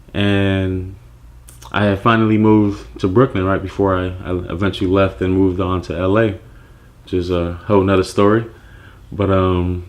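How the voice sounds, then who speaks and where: Deep-voiced man telling his story. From Nigeria. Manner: reflective, relaxed, with many fillers and restarts. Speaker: clothing brand founder. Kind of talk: personal story monologue.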